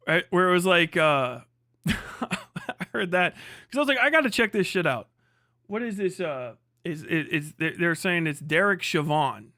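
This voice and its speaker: A man with a high, sharp voice rants casually as a livestream host, swearing freely and hammering points by repeating them. The sound is close and very dry, with a forceful edge to the delivery. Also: expressive, a little slow, no echo. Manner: profane, emphatic, repeats phrases for emphasis. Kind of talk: casual livestream commentary, comedic ranting.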